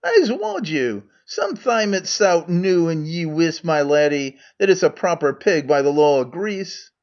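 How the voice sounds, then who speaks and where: A crafty, down-at-heel trader with a broad Scottish accent coaxes and blusters, as a man puts him on. The voice sits high and bounces with eager hawking. It is heard through a dull, muffled recording. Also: sing-song, measured pace. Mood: wheedling. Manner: broad dialect, coaxing and blustering. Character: crafty poor male trader, older, wheedling.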